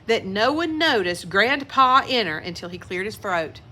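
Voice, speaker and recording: A woman's voice: mid-range voice, expressive, moderate pace, bright, forceful tone. A woman reading a children's picture book aloud expressively, with asides to young listeners. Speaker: children's story reader.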